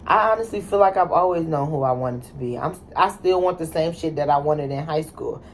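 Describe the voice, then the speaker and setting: Blunt, low-voiced young woman in casual chat. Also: brisk, a little hiss or hum. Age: 20s. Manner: blunt, heavy swearing, slangy. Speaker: channel host.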